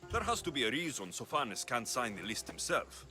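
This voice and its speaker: A battle-worn young mercenary of ancient Greece for a video game. The voice is mid-pitched and forceful with a sharp edge, and the lines come plain and terse, earnest but guarded. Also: male voice, sing-song, fairly quick, soft background music. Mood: dry, guarded. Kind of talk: dramatic video game cutscene acting.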